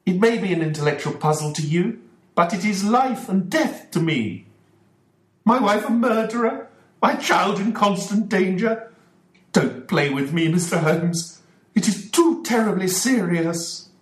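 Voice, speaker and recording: A man reading an audiobook voices a distraught, desperate middle-aged husband, pitched well above his own voice. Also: big pitch swings, measured pace, pauses often. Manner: pleading, shouting. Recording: roomy echo.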